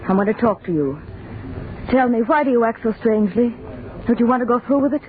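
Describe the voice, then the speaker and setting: A woman's voice: fairly high pitch, fast, close to an everyday voice. An actress playing a mysterious, intense young woman with an urgent, commanding manner in an old-time radio drama. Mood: intense, eager. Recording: tinny, band-limited old radio, faint noise.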